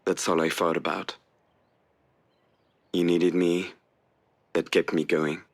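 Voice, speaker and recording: A guarded man in a visual novel drama. His voice sits low, and he talks haltingly and defensively. He is protective and self-effacing, keeping his own pain held back. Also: level tone, vocal fry, heavily acted. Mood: subdued, reassuring. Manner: hesitant, defensive.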